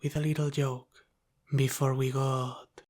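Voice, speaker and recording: A man playing a teasing, menacing Italian-American mafia boss in an intimate ASMR roleplay, speaking in a calm, controlling, halting way that often drops to a whisper. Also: medium pitch, close to an everyday voice. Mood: lightly teasing.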